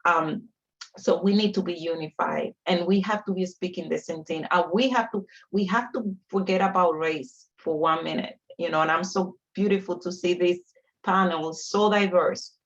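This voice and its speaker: A woman with a Dominican Spanish accent speaks in a fairly low voice as an advocate on a panel. Her phrasing is emphatic and repetitive, pressing each point home. The sound is somewhat dull and lo-fi. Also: normal speed. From Dominican Republic. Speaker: panelist, community organizer. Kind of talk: panel discussion, impassioned advocacy.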